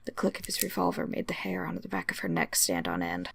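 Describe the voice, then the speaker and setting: Very creaky, husky woman reading a story. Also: lowish voice, very animated, fast talker, no echo, clean studio sound. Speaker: story reader. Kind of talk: dramatic scripted narration of fan fiction.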